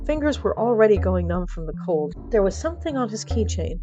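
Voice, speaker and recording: Lady reading a quirky story radio style, medium pitch, music playing under her. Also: sing-song, fairly quick, muffled recording. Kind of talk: dramatic comic story narration. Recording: background music under narration.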